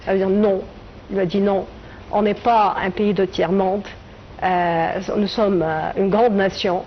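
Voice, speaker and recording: A woman's voice: medium pitch, steady tone, lo-fi sound, a little hiss or hum. Hesitant, reflective middle-aged woman from Tunisia. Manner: hesitant, reflective, many filler pauses. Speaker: writer and translator guest. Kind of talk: TV interview answers.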